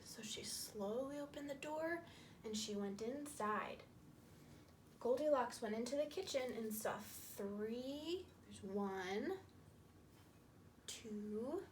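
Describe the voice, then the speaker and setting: A woman's voice: on the high side, lively intonation, slight background noise. A woman telling a story to young children with puppets, repeating and emphasizing phrases as she explains. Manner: slow, repetitive, emphatic, explaining to small children.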